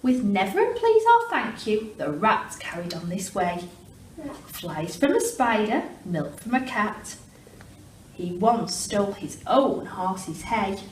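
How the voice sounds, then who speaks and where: Slow, expressive woman reading a children's story. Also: mid-range voice. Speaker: primary school teacher reading aloud. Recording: roomy, distant mic with clear background noise.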